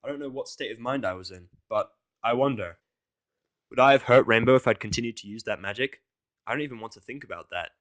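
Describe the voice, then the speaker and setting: A man's voice: mid-range voice, fast talker, a bit bright. A man reading fiction aloud as a dramatic first-person narration. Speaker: audiobook reader.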